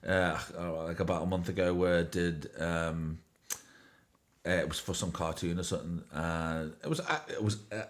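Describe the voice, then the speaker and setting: A man's voice: low voice, fairly even intonation, moderate pace. A man hosting a podcast, chatting casually in a rambling way, full of fillers and swearing. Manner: rambling, profane, many fillers. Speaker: podcast host. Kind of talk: casual chat.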